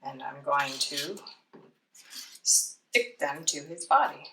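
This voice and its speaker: A woman with a slightly low voice walks children through a craft in a chatty, playful way, tossing in self-deprecating asides. She is heard at a distance from the microphone in an echoey room. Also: animated, slow. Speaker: children's library storytime presenter. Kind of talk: casual craft demonstration for children. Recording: roomy, distant mic, clear background noise.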